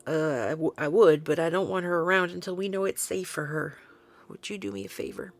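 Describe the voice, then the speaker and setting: A woman acts out a weary, stubborn woman researcher in an audio drama. Her voice is on the low side and heavy with creak. She talks bluntly and dryly, with a sardonic streak. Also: animated, a little fast. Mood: uneasy, dry.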